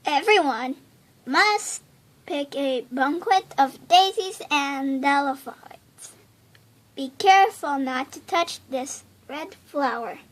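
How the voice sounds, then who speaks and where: Woman as a patient, high-voiced lady troop leader. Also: animated, slow pace. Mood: instructive. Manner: patient, instructive.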